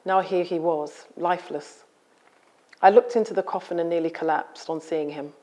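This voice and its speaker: Low-voiced, articulate woman author. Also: fairly even intonation, moderate pace, warm tone. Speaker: author and guest. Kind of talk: reading a memoir aloud, then interview talk.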